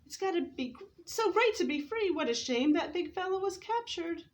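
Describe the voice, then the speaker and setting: A woman voicing a small, excitable fish from a nervous school, in exclamatory storybook dialogue. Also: very high-pitched, moderate pace, voice pushed up. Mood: relieved, grateful. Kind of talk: readers theater storybook performance.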